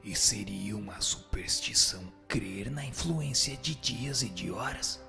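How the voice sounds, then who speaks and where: A man playing a calm, firm spirit teacher who gives measured, didactic answers in a scripted reading, with a breathy, gravelly voice. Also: lowish voice, heavily acted. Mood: solemn, instructive. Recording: music bed under the voice.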